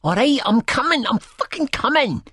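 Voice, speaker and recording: A man's voice: very high voice, big pitch swings, normal speed, fairly bright tone, breathy edge, no echo, studio quality, voice pushed up, exaggerated voice. A man voicing a drunk, aggressive young Scottish woman in an audiobook, slurring and shrieking. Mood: angry roaring.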